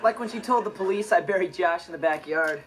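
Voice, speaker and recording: A man's voice: high-pitched, a little fast, heavy background noise, lightly acted. A young American man playing a cool, smug, mischievous teenage boy, delivering a sitcom monologue with cocky nonchalance. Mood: wry. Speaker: sitcom actor.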